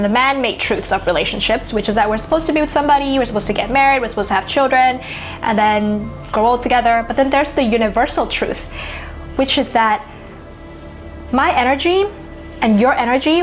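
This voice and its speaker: A woman whose first language is Thai, an astrologer musing in casual talk to camera, full of "you know" and "like". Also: a little high.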